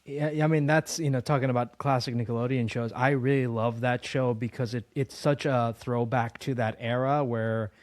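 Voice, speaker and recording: A man's voice: medium pitch, fairly even intonation, moderate pace, hardly any pauses, no room sound. A man hosting a casual interview in an enthusiastic, conversational way. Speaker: interviewer, host.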